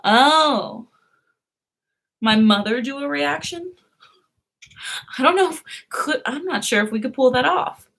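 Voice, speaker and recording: A woman's voice: high-pitched, expressive, unhurried, gravelly, long pauses, a bit bright. A young woman hosting a casual live stream, who chats, laughs often and answers her viewers' comments.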